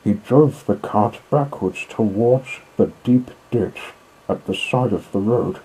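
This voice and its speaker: Male audiobook narrator, husky and unhurried, mellow tone, measured dramatic storytelling for a children's classic. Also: fairly low pitch, poor audio.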